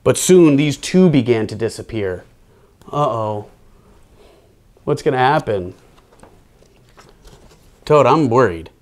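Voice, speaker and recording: A man's voice: medium pitch, lively intonation, slow pace, long pauses, a little mellow. A man hosting children's storytime, reading a picture book aloud with playful, theatrical delivery and casual asides.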